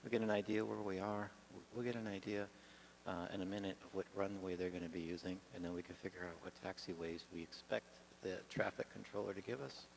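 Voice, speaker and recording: A man giving an informal, halting lesson as he demonstrates pilot procedures, speaking in an improvised way. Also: low-pitched, fairly even intonation, creaky, rough recording. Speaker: pilot demonstrating radio practice. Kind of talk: informal instructional talk. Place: garage. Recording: faint background noise.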